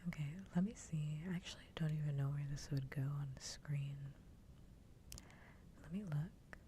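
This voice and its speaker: A woman speaks in a soft, low whisper with a dark, mellow tone. Her delivery is casual ASMR livestream chat, kept very even in pitch. A faint rumble of noise sits underneath the close microphone. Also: moderate pace. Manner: soft-voiced, mostly whispering.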